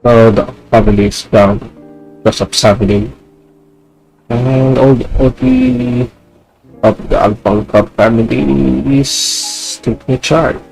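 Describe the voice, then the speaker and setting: A man's voice: medium pitch, lively intonation, slow, gravelly, darkish tone, faint music behind, low-quality recording. A man giving casual playthrough commentary as a game streamer.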